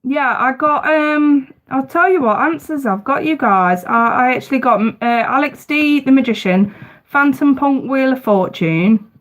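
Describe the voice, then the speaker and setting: A woman's voice: high-pitched, measured pace, low-quality recording. A woman, casually hosting a live tarot stream, chatty and talking straight to her viewers, her voice bunged up with congestion. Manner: chatty, addresses viewers directly.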